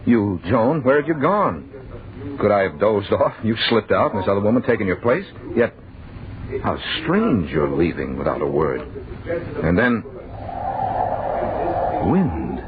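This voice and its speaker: A man's voice: fairly low pitch, very animated. Haunted, agitated man, tinny old radio. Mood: confused, alarmed. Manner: halting, fragmented, overwrought delivery. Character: bewildered, agitated man recounting a waking nightmare. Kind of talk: old-time radio horror narration. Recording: tinny, band-limited old radio broadcast, crowd murmur.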